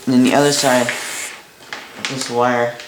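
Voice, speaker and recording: A Canadian man gives a casual, unscripted demonstration. He speaks offhandedly and haltingly, as if working it out while he talks. The room is noticeably echoey, with faint noise and clicks behind him. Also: medium pitch, level tone. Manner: halting, offhand, thinking aloud. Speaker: hobbyist presenter demonstrating a vintage boombox. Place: indoor room at a workbench by a window. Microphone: camera mic a short distance away.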